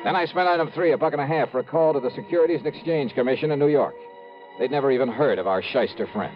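An actor with an American accent playing a brisk, shrewd insurance investigator in 1950s radio drama, punchy and urgent. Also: male voice, mid-range voice, natural voice. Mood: brisk narration. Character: brisk, shrewd male insurance investigator, take-charge and hard-boiled. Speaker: lead actor and narrator. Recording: dull vintage radio recording, faint music.

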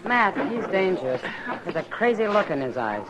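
A woman's voice: lowish voice, very animated, moderate pace, heavy background noise. An actress playing an earnest, worried, protective frontier woman in a 1950s radio western drama. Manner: earnest, concerned.

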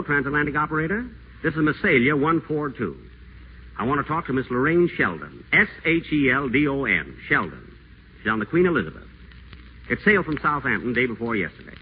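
Man playing a pompous sarcastic schemer, big lively inflections, thin phone-like old radio sound. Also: mid-range voice, brisk, long pauses, lightly acted. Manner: sardonic, theatrical, imperious. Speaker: radio celebrity. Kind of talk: 1940s radio acting. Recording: tinny old radio broadcast, faint hiss.

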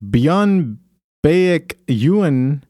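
A man's voice: on the high side, big pitch swings, drawn-out words, warm tone, no room sound, clean studio sound. A man hosting a podcast, reading from his phone in a casual, crude way with frequent laughter. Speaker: podcast host. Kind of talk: casual comedic chat.

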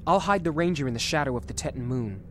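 An actor playing an easygoing, loyal starship pilot in an audio drama, with a casual, sardonic delivery. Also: male voice, fairly high pitch, expressive, quick pace, fairly bright tone, a little hiss or hum. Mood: concerned.

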